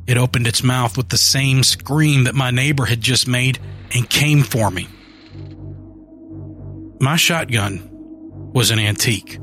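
A man narrates a dark first-person horror tale at a slow, deliberate pace, his pitch sitting right in the middle. The telling is grim and steady, with a soft bed of music underneath. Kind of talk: scripted horror story narration.

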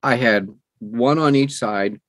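A man in his sixties chatting as an interview guest, telling personal stories in an animated way and acting out quoted dialogue. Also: mid-range voice, slow pace, dry recording. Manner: animated storyteller who acts out quoted dialogue. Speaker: guest, audiobook narrator. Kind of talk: conversational personal storytelling.

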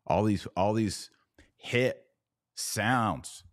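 A man's voice: on the low side, drawn-out words, dry recording, studio quality. A man hosting a livestreamed commentary podcast, talking emphatically with sarcastic, mocking asides. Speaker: podcast host.

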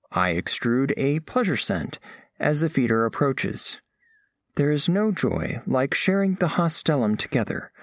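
A scholarly alien envoy, played by a man, speaks slowly and with great formality. The pitch rises and falls widely as each precise phrase is set out, in a close, dry recording. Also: no room sound, heavily acted. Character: formal, scholarly alien diplomat narrating a first contact. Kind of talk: scripted audio fiction narration.

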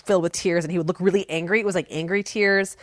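A woman in her forties co-hosting a podcast, telling stories in a loud, animated, projecting voice. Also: fairly low pitch, quick pace, no echo, studio quality. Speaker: podcast co-host. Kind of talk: casual chat.

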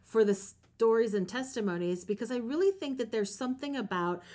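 A woman's voice: on the high side, animated, brisk, mellow. Earnest, encouraging woman hosting a podcast. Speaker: podcast host. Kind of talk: conversational faith talk with a guest.

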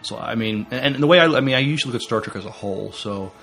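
A man co-hosting a podcast, talking casually about films in a halting, stammering way. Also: medium pitch, creaky, a bit bright, soft background music. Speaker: podcast co-host. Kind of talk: casual film review chat.

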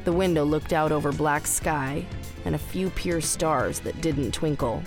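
A woman with a low voice narrates a piece of fiction. She reads from a script as the storyteller. Quiet music plays underneath. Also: a little slow.

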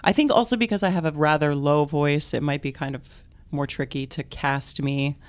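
A woman's voice: deep voice, normal speed, a little hiss or hum. Laughing, chatty woman singer. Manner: enthusiastic, laughs often, rambling asides. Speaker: opera and concert singer, guest. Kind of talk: casual interview chat.

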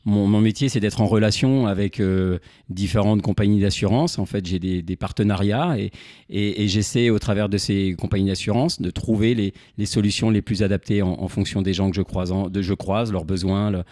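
Man, fifties, from Normandy, lowish voice, interview guest, hesitant, word repetitions, dry sound. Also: fairly even intonation, warm tone, no echo. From Normandy, France. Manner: hesitant, halting, repeats words while searching. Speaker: interview guest, insurance broker. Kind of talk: conversational interview answers. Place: indoors, radio studio.

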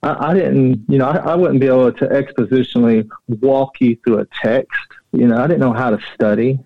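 Middle-aged male guest, casual storytelling, repeats words, mid-pitched, slightly lo-fi sound without room echo. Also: brisk. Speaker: interview guest. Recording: dull, muffled but dry audio.

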